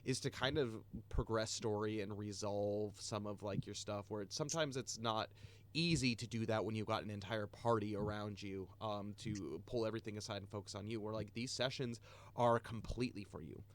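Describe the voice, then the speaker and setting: A man's voice: lowish voice, steady tone, moderate pace. A man running a tabletop game, chatting casually and enthusiastically after the session, recovering from being sick. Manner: chatty, filler-heavy, enthusiastic. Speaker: game master. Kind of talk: casual post-session chat.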